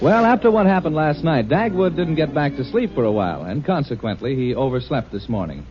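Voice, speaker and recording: A man serves as announcer and storyteller for a vintage radio program. His voice is a little high and animated in a scripted period style. The sound is dull and narrow. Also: fairly quick, over music. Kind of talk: 1950s radio scripted narration. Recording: muffled vintage radio recording.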